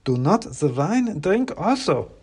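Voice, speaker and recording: A man's voice: high-pitched, sing-song, slow, darkish tone, slightly rough, voice pushed up, full character voice. A man doing a stiff wooden nutcracker soldier. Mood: warning. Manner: stiff, clipped speech with odd word order.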